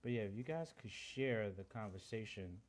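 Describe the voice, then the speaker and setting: A man's voice: lowish voice, animated, normal speed. A man from Honduras whose first language is Garifuna, hosting a casual live-stream chat, earnest, greeting viewers by name and mixing in Spanish greetings. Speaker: livestream host.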